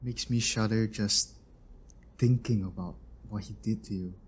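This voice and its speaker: Guy doing a kindly engineer in an audio roleplay, lowish voice, chatty and reassuring. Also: fairly even intonation, unhurried, breathy edge. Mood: somber, gentle. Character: kindly male engineer, protective inventor of a robot.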